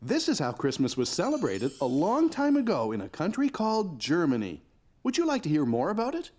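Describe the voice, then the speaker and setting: Very lively cartoon dad. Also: male voice, fairly high pitch, brisk. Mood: storytelling. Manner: gentle, expressive storytelling. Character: kind, patient young father of small children. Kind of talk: children's cartoon voice acting, storytelling. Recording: music behind the voice.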